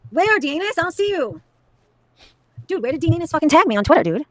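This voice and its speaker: Excitable, profane high-voiced woman. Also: a little fast, no room sound. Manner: excitable and profane, laughs often. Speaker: livestream host. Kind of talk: casual livestream chat.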